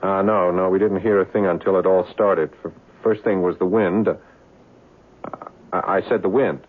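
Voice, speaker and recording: A worried, level-headed husband in an old radio play, reassuring his wife in a deep voice. He stammers a little as he reasons things out, and the muffled broadcast sound dulls him. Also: fairly even intonation, moderate pace. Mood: tense, explaining. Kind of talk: 1970s radio drama acting.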